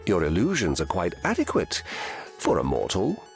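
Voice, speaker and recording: A man's voice: deep voice, wildly swooping pitch, normal speed, gravelly, heavily acted. A man voicing a vain god of mischief in a video game, condescending and theatrical. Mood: smug, mocking. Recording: music behind.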